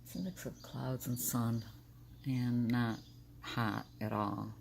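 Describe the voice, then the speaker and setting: An older woman with a deep, gravelly, smoker-like morning voice, tired and short on sleep, talking candidly in a rambling personal video diary. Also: steady tone, slow pace, a little mellow, slight background noise. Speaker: vlogger.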